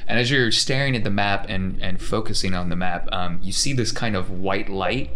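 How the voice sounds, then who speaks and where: Man, game master, lowish voice, descriptive conversational storytelling, faint background noise. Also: moderate pace, pushed and bright. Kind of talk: improvised tabletop game narration.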